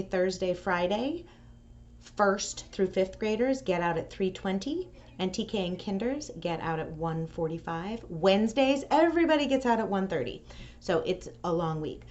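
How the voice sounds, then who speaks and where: A woman presenting for a school, speaking in clear phrases to families and children as she gives announcements and reads aloud. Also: medium pitch, darkish tone. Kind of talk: video announcements and picture-book read-aloud. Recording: dull audio with faint noise.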